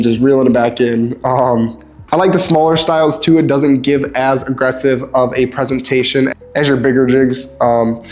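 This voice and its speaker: A young man with a stuffed-up nose, casually reviewing fishing lures in a relaxed, chatty way with lots of ums. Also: mid-range voice. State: stuffed up, sniffly. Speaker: lure reviewer.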